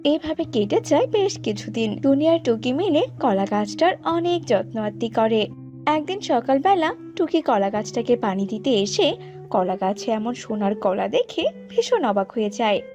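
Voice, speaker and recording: A woman's voice: on the high side, sing-song, moderate pace, music in the background. A woman narrating a scripted children's cartoon story.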